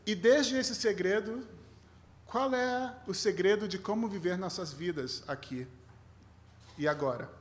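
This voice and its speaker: Guest rabbi, male, Brazilian accent with a mixed tinge, lightly raised pitch, conversational sermon with self-deprecating humour. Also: unhurried. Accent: Brazilian with a mixed foreign tinge. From Rio de Janeiro, Brazil.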